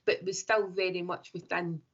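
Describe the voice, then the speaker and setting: A woman's voice: lowish voice, level tone, fairly quick, slightly rough, muffled recording. A woman with a Scottish accent, a tarot reader chatting casually and saying like and you know a lot. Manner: chatty, many likes and you knows. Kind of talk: casual chat.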